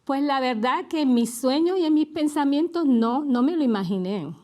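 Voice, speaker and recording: Puerto Rican woman co-hosting a faith show, somewhat high-pitched, easygoing personal storytelling. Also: normal speed. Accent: Puerto Rican Spanish. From Puerto Rico. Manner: relaxed storytelling with light laughs. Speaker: co-host and guest. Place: TV studio.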